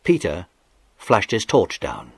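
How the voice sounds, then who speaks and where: A man narrating a children's story in an expressive, dramatic scripted style. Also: deep voice, slow pace, gravelly, breathy edge, no echo.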